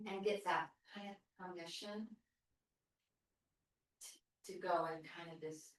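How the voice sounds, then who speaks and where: Low-voiced woman thinking aloud in a group. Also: steady tone, takes it slowly, a little hiss or hum. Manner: thoughtful, hesitant, restarts. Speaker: group participant. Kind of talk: casual group discussion. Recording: very echoey room from far off.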